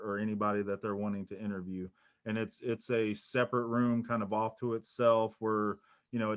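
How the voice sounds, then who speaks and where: Man, convention organizer, measured, low voice, dry dull audio. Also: no room sound. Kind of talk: casual video call chat.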